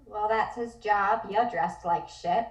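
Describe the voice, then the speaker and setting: A woman's voice: medium pitch, takes it slowly, close to an everyday voice. A woman playing a brusque, sassy 1920s flapper, curt and scornful, in a table read with roomy, distant sound. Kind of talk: comic period drama table read.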